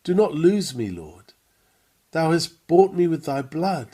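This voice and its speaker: A man reading a sermon aloud in an earnest, measured, emphatic preaching manner. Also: a little high, big pitch swings, warm tone. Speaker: reader of a printed sermon. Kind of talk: read-aloud sermon, devotional.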